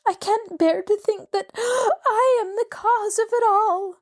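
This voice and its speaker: A woman's voice: sits high, animated, a little slow, a little mellow, voice pushed up, heavily acted. A woman voicing a genteel middle-aged lady in distress who pleads breathlessly and near tears. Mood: distressed, tearful.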